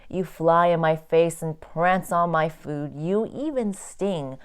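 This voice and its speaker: A woman reading a children's picture book aloud like a teacher, with expressive, deliberate phrasing for young listeners. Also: fairly low pitch, clean tone. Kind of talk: children's storybook read-aloud.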